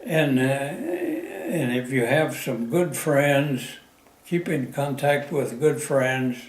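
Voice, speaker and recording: A man of ninety-nine from the mountains of southwestern Virginia, recalling his life in a halting way with many pauses and fillers. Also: mid-range voice, very animated, roomy sound, low-quality recording. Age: 90s. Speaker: interviewee. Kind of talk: oral history interview.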